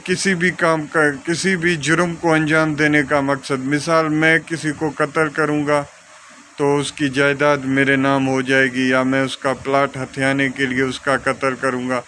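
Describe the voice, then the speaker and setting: A man's voice: on the high side, sharp tone, a little hiss or hum. A man with a Pakistani accent giving an informal law lesson, explaining with examples and mixing in English legal terms. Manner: code-switches between Urdu and English legal terms, reading out clauses deliberately. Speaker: law lecturer.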